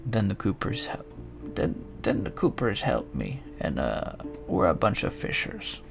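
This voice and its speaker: A man's voice: low voice, wildly swooping pitch, a little slow, gravelly, music in the background, full character voice. A man voicing an elderly, matter-of-fact village woman with folksy, rustic phrasing in a fantasy game reading. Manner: folksy phrasing with tag endings.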